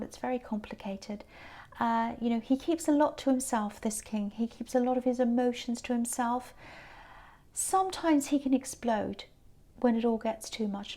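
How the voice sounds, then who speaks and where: A tired woman, giving a gentle, reassuring tarot reading that sometimes drops to a whisper. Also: fairly high pitch. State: tired, croaky voice. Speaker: tarot reader.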